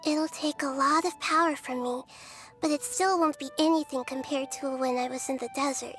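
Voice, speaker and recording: A woman voicing a small, calm and wise young goddess who explains things gently. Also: sits high, normal speed, faint music behind. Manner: calm, soft-spoken and explanatory, sometimes whispery.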